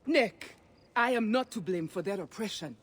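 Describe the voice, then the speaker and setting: A woman's voice: mid-range voice, animated, normal speed, husky. An actress playing a proud, defiant Nabataean woman warrior and liberator in a video game, with impassioned, declamatory delivery. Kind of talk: dramatic video game cutscene acting.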